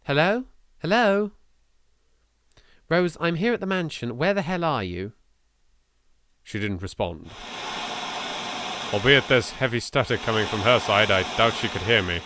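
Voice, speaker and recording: A man's voice: medium pitch, animated, unhurried, pauses often, bright, forceful tone. A man voicing an anxious, frightened young woman exploring a haunted mansion, reading horror visual novel text theatrically. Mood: calling out, scared, then hushed dread.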